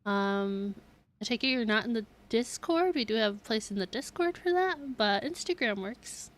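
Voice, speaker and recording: A woman with a fairly high voice livestreams a game. She chats in an informal, reactive way, dropping short casual comments as she goes. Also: expressive, normal speed. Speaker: game streamer.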